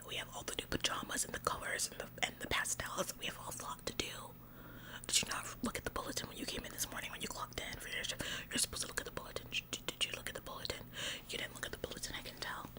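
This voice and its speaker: A woman voicing a bossy, officious store employee in an ASMR roleplay, nagging in halting, repetitive, passive-aggressive phrases. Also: close to an everyday voice. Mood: stressed, nagging. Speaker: ASMR performer.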